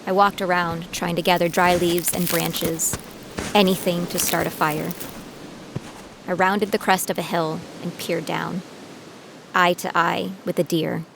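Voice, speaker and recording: A woman's voice: lowish voice, little pitch movement, a little slow, gravelly, background noise. An actress playing a determined young female bounty hunter in training, narrating her own story in an audio drama. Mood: tense, reflective. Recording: loud music bed behind voice.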